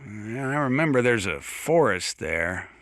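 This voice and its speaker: An actor playing a folksy, rambling old American small-town sheriff with dry humor, giving emergency radio announcements in a video game. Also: male voice, fairly low pitch, sing-song, unhurried, fairly bright tone, dry recording. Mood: wry, warning. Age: older.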